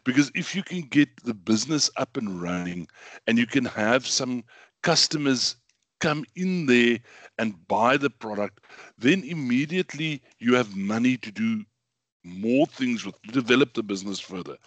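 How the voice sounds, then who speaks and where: A middle-aged man with a hoarse voice from bronchitis, giving a casual online lecture with lots of ums and repeated words. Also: mid-range voice, animated, moderate pace, no room sound. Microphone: computer mic.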